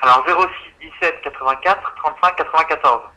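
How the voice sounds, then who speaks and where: A man's voice: fairly high pitch, brisk, sharp tone. A man working as an insurance phone agent, patiently and politely explaining things over a tinny telephone line. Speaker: insurance call-centre agent. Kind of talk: customer service phone call.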